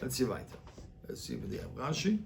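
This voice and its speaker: A man's voice: mid-range voice, huge pitch swings, fast, vocal fry, noisy background. A male rabbi teaching an interactive Talmud lesson, explaining emphatically and repeating phrases to make his point.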